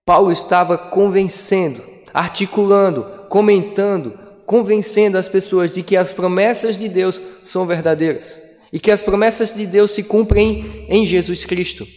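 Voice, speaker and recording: A man preaching a sermon as pastor, with dramatic pauses and emphatic delivery. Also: sits high, steady tone, darkish tone, faint music behind. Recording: long hall reverb.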